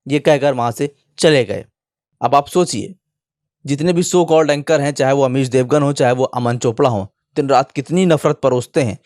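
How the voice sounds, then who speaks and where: A man delivers opinionated news commentary as an online presenter. His voice sits at an average pitch and sounds indignant, leaning on rhetorical questions. The sound is close and dry, with little room in it. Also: darkish tone, dry recording.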